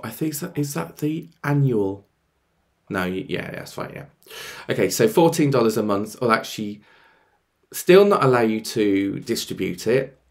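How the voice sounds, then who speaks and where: A man in his thirties with a slightly croaky voice, presenting a casual on-screen tutorial and thinking aloud as he goes. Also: fairly low pitch. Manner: explanatory, thinking aloud as he clicks. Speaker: presenter of music tech tutorials. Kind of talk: casual screen-share walkthrough.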